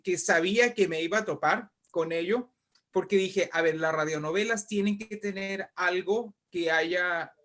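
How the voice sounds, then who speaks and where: A man's voice: high-pitched, a bit bright. A man with a Mexican accent speaking conversationally as an interview guest, explaining in an emphatic, discursive way and often checking in with the listener. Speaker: invited guest, writer and poet.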